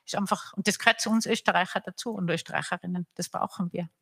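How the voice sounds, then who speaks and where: An Austrian woman speaks on a stage panel into a handheld mic. Her voice is bright and crisp, and she talks in animated bursts with restarts. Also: fairly low pitch, quick pace. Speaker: panel guest from the hotel trade. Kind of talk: panel discussion.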